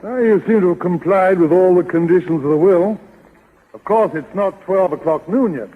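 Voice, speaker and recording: A tinny old radio recording of a high-pitched male lawyer. He is formal but affable, delivering corny lines with genial courtesy. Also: moderate pace, some chatter behind. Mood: formal, inquiring. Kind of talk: 1930s radio comedy acting.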